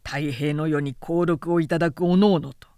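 A man's voice: on the high side, steady tone, moderate pace, warm tone, clean tone, dry recording, clean studio sound, voice pushed down, close to an everyday voice. A woman voicing a proud, angry male foot-soldier spearman who speaks bold, formal samurai Japanese in a period story. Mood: indignant challenge. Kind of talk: literary period-story reading.

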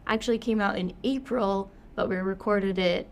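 A woman's voice: medium pitch, steady tone, moderate pace. Young female podcast co-host with a cold, upbeat, casual, thinking aloud, faint hiss behind. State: has a cold. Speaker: podcast co-host, yoga teacher.

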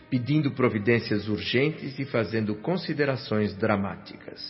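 A male actor as a reflective Brazilian narrator recalling the afterlife in a radio play. The delivery is measured and reverent, with a literary polish, in a slightly dull recording with music underneath. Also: mid-range voice, natural voice. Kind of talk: scripted radio drama narration. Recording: dull lo-fi sound over music.

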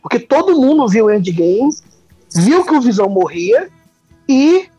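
Co-host, husky dry voice, halting casual talk. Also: mid-range voice, big pitch swings, dark tone, no echo, highs cut off, soft background music. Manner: hesitant, repeats words when starting. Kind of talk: casual chat.

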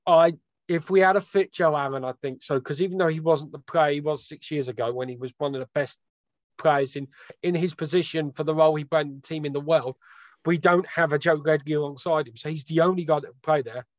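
A man's voice: a little high, fairly even intonation, a little fast, dry recording, lo-fi sound. A man from Wales, a podcast guest chatting casually about football over a video call, rambling and correcting himself with dry humour.

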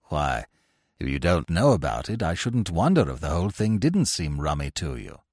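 A man playing naive young English toff, sheepish, hesitant, chatty, soft-spoken low pitch, upper-class accent, storybook comedy. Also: big pitch swings, moderate pace, no room sound, clean studio sound. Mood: sheepish, pleased. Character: mild, apologetic, naive young upper-class Oxford student.

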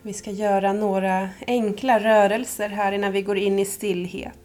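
A woman leading a guided yoga nidra meditation as instructor, calm, soothing and unhurried, with long pauses. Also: medium pitch, soft background music.

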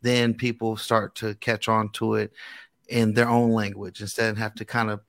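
Gravelly American man hosting, dry sound. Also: fairly low pitch, little pitch movement, normal speed, fairly bright tone, dry recording. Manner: informal, encouraging, slangy. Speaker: gospel radio host. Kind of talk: casual interview chat.

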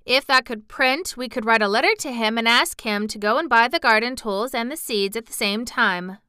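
A woman reading puts on a bright, eager young housemaid from a storybook, chattering away. Her voice sits higher and louder than the narration, crisp and smooth in a dry, close setting. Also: normal speed, no room sound. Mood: chatty. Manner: broad dialect, eager and chatty. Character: cheerful young Yorkshire housemaid, homely and talkative.

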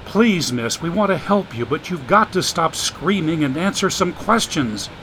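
A man doing a gruff, short-tempered mansion owner. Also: on the high side, animated, a little slow, fairly bright tone, slight background noise. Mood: exasperated. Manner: gruff, exasperated.

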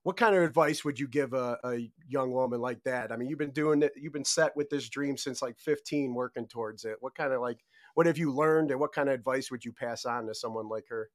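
Man, middle-aged, podcast host, casual interview, rambling questions, "you know" fillers, mid-pitched, dry close sound. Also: a little fast, no echo.

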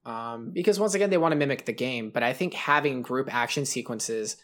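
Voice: medium pitch, a little fast. Rambling, analytical podcast co-host. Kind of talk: casual film review chat.

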